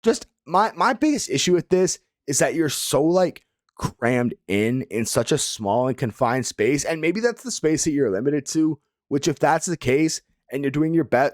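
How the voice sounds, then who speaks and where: A young man with a slightly hoarse voice, casually reviewing viewers' gaming setups in a laid-back way, full of fillers. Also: medium pitch, expressive, normal speed, no room sound, studio quality. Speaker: gaming video creator.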